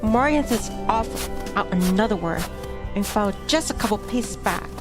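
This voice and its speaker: Brief: woman streamer narrating a game, mid-pitched voice, chatty asides, soft music under her. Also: expressive, moderate pace. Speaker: VTuber streamer. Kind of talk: reading a visual novel aloud. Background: background music.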